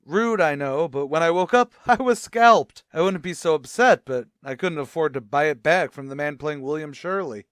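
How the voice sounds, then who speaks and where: A man playing a dignified, cultured music critic, formal and deadpan, in an old-time radio sitcom. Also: on the high side, normal speed, hardly any pauses, clear, resonant tone, no room sound, studio quality, natural voice. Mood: wounded dignity.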